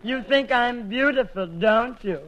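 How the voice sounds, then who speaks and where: A man's voice: very high-pitched, a little slow, a bit bright. A man in his twenties playing a gushy, vain young woman in a 1940s radio comedy. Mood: coy, wistful. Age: 20s. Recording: noisy old 1940s radio broadcast.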